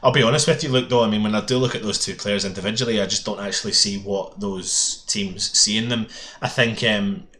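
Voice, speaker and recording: A man's voice: fairly low pitch, fairly even intonation, brisk, sharp tone. A Scottish man hosting a casual football podcast, chatty and summarising a statement aloud. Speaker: podcast host.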